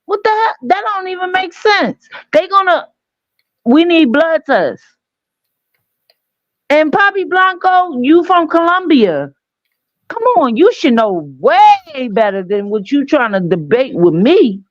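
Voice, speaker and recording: A woman's voice: sits high, very animated, takes it slowly, no room sound, lo-fi sound. A woman from Trinidad, a caller in a casual live chat, insistently repeating her point in a heated debate.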